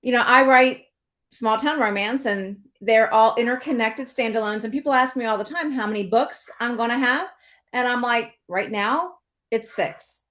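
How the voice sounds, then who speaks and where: A woman's voice: fairly high pitch, normal speed, a bit bright. A woman hosting a casual conversational podcast interview, chatty and agreeable, talking in loose asides. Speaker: podcast host and author.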